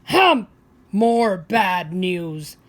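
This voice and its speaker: Woman putting on a loud, grumpy old man. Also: medium pitch, very animated, full character voice. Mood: bitter, snappish. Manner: emphatic, drawn-out grumbling exclamations. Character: grumpy old man, curt, complaining, gruff. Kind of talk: children's picture book read-aloud.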